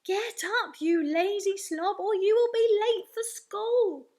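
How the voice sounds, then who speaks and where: A woman's voice: sits high, lively intonation, unhurried, a bit bright, heavily acted. A woman voicing a self-important, easily flustered father in a children's story, pompous and emphatic. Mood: angry.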